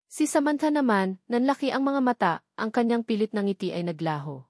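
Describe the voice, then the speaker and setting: A woman's voice: fairly high pitch, a little fast, a little mellow, no echo, clean studio sound. A woman with a Filipino accent reading a scripted romantic drama story as its narrator.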